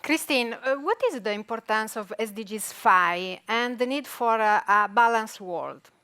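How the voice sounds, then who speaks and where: A woman's voice: a little high, measured pace, bright, forceful tone, clean tone. A woman moderating an event, asking prepared questions hesitantly with many fillers.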